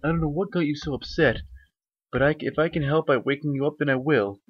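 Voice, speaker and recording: A man voicing a caring young male baby dragon, speaking gently and earnestly. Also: on the high side, quick pace, breathy edge, no room sound, pitched above the performer's own voice. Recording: dull lo-fi sound.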